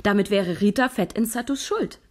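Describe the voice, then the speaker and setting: An actress playing a shy young woman scriptwriter who narrates her story and eagerly pitches plot twists in a radio play. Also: normal speed, lightly acted. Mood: telling.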